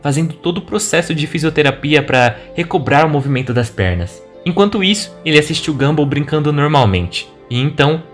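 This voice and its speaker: A man's voice: on the high side, animated, a little fast, few pauses. Brazilian man narrating over music. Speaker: video narrator. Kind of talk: scripted narration retelling an animated horror story. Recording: music behind the voice. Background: background music.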